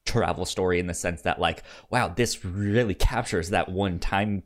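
A man co-hosting a podcast in casual film chat, halting and hesitant, with a stutter that repeats sounds and words. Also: low-pitched. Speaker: podcast co-host.

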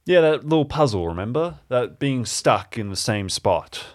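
A man's voice: medium pitch, animated, moderate pace, voice pushed up, natural voice. A man playing a patient, insistent man who probes with riddles in an improvised audio drama. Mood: coaxing. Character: persistent, probing man talking in puzzle riddles.

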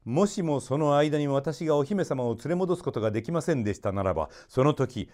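A man's voice: medium pitch, expressive, brisk, darkish tone, voice pushed up, lightly acted. A man voicing a loyal young storybook hero, speaking formally and earnestly. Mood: pleading.

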